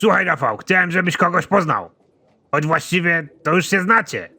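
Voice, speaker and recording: Male actor as exasperated cartoon general, middle-aged, sharp forceful tone, somewhat high, sardonic and crude. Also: lively intonation, normal speed, husky, full character voice. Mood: exasperated, insistent. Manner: blunt, sardonic, swearing. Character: foul-mouthed, exasperated army general, middle-aged man, absurdly pompous. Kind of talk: animated comedy series dialogue.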